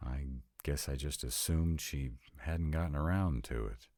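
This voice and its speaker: A man's voice: rumbling low, unhurried, darkish tone, no echo. A man playing a sarcastic, reluctant but devoted vampire mate in a scripted roleplay audio drama, with a dry manner. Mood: incredulous.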